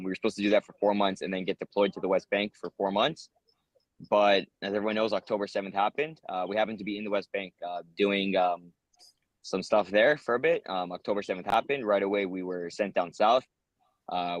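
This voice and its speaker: Fast-talking young American man, many ums. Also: on the low side, no room sound, muffled recording. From United States. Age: young adult. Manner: earnest, rambling, many ums and uhs. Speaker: interviewee, soldier. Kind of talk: casual interview answers.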